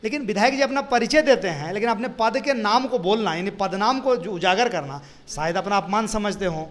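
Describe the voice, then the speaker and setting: A man's voice: sits high, a little fast. A man with a Bihari accent giving political commentary as a party spokesperson, emphatic and repetitive, often posing rhetorical questions.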